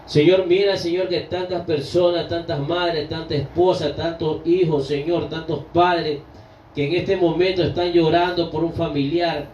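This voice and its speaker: A middle-aged man prays aloud in a fervent, pleading way, returning to the Lord after nearly every short phrase. His voice sits a little high and is picked up from some distance. The room is echoey, the sound is dull and lo-fi, and a faint hum of ambience sits underneath. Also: fairly even intonation, a little slow, darkish tone. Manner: pleading, with frequent restarts. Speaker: prayer leader. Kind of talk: spontaneous spoken prayer.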